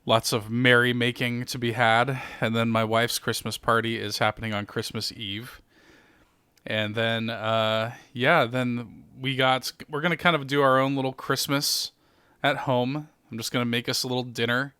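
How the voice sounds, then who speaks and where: A man's voice: mid-range voice, little pitch movement, normal speed, pushed and bright, clear, resonant tone, dry recording, clean studio sound. A young man hosting a podcast, upbeat and jokey, laughing often while he chats. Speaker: podcast host. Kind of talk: casual chat.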